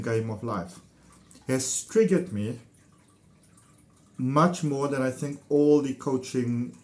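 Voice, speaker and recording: A man's voice: medium pitch, a little mellow. A man with a South African accent sharing his healing-coaching experience in a casual, enthusiastic, meandering way, full of fillers. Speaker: ThetaHealing practitioner. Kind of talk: casual personal testimonial to camera.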